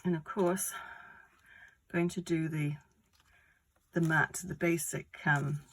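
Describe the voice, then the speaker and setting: A woman giving a casual card-making tutorial, croaky from a cold, talking through each step in a chatty, rambling way. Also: low voice, fairly even intonation, takes it slowly, a bit bright. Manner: chatty, rambling step-by-step explanations with many fillers.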